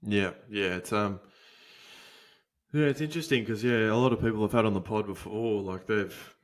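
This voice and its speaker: Laid-back swearing Australian man hosting. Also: fairly low pitch. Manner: laid-back, swears a lot, halting with fillers. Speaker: podcast host. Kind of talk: casual podcast chat.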